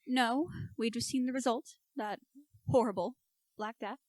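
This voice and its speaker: A woman playing an anxious, excitable scientist's daughter in an amateur radio play, theatrical and expressive. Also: a little high, measured pace, dry recording. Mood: anxious, polite.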